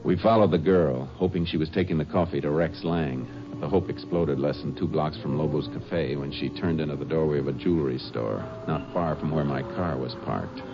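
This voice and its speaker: An actor playing a steady, shrewd Texas Ranger in a 1950s radio detective drama, terse and matter-of-fact. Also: male voice, extremely low pitch, moderate pace, hardly any pauses. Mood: purposeful. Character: steady, shrewd Texas Ranger, male lawman narrating his case. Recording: muffled vintage radio recording with music.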